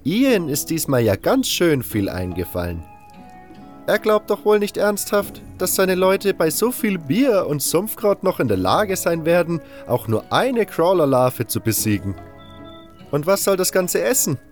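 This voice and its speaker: Casting: narrator doing a sarcastic, rough-spoken fantasy camp boss, raised pitch, over faint music. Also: male voice, very animated, measured pace. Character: sardonic, gruff camp organiser, a man, dryly complaining. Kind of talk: fantasy audiobook character dialogue.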